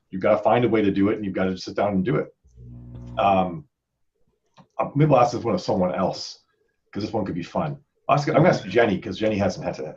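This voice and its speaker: Low-pitched, fast-talking man hosting a podcast. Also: a little mellow, muffled recording. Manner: rambling, conversational, repeats words as he thinks. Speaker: podcast host and author. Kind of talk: casual podcast panel chat.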